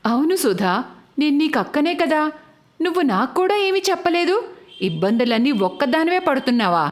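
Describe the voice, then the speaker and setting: A woman voicing a worried mother and caring elder sister in an animated family story, concerned and affectionate. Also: sits high, fairly quick.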